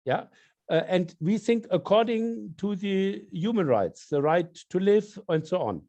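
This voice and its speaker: A man with a German accent hosting an online meeting, talking haltingly over a video call. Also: high-pitched, animated, warm tone, muffled recording. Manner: halting, repeats words, non-native phrasing. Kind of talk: casual video call discussion.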